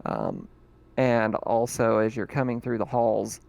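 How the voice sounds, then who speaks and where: A man acting as game master, narrating in a relaxed, chatty way and often laughing. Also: on the low side, a little slow, vocal fry. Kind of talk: casual tabletop game narration.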